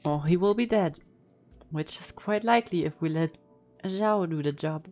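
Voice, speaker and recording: A woman's voice: lowish voice, moderate pace, a little mellow. A woman giving casual, laid-back, wry commentary as she reacts to a video game story. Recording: game music behind.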